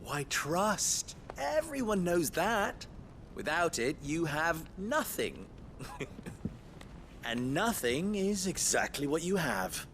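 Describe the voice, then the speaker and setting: A swaggering young merchant for a game cutscene. His pitch swoops up and down as he taunts, unhurried and thoroughly pleased with himself. He sounds sly and theatrical. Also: sing-song, a bit bright, a little hiss or hum, exaggerated voice. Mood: mocking. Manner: smug, taunting, theatrical delivery. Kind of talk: video game cutscene acting.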